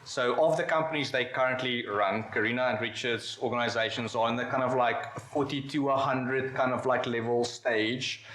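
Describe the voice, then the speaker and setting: A man's voice: mid-range voice, steady tone, moderate pace, few pauses, fairly bright tone, slight background noise. A man moderating a conference panel in a casual, chatty way. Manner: chatty, many fillers. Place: conference venue. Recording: echoey event room.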